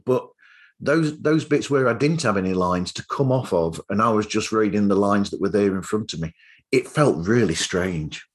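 Husky male podcast host. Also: lowish voice, animated, normal speed. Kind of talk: casual interview chat.

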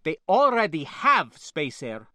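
A male narrator plays a proud young niece in an audiobook. The voice is raised high and bright, with formal, clipped phrasing. It is scornful and fiery, with worry underneath, and recorded very dry. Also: big pitch swings, unhurried, dry recording, exaggerated voice. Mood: curt, objecting.

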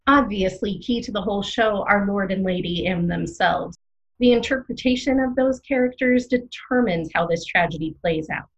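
A woman playing an excitable, opinionated small-town arts radio co-host, theatrical and playfully dramatic in scripted comic banter. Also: medium pitch, normal speed, highs cut off, close to an everyday voice. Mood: chatty, dramatic.

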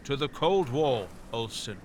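Audio drama captain, played by a man: breezy, commanding, condescending, widely swooping delivery, clear ambient noise behind. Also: medium pitch, lively intonation, measured pace, natural voice. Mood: self-satisfied. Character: breezy, dismissive army captain, fond of dynamite.

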